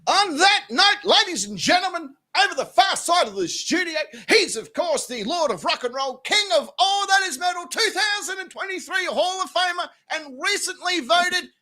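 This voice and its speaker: Rowdy high-pitched male host. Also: animated, normal speed, hardly any pauses, pushed and bright. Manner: boisterous, profane, laughs often. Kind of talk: crude comedic banter and showy introductions. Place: studio.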